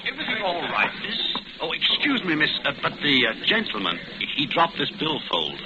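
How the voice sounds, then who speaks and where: Deferential waiter, swooping pitch, old radio. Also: male voice, wildly swooping pitch, brisk. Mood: obliging. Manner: obliging, hesitant, servile. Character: deferential, flustered male ship's waiter. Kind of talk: 1940s radio drama acting. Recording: muffled, very noisy old radio recording.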